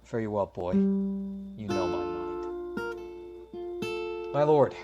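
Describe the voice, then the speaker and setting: A man's voice: on the low side, lively intonation, slow pace, lo-fi sound. A man playing a stern, righteously angry young lord in a Shakespeare radio play, grave and formal in delivery. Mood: cold, challenging. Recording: loud guitar music behind.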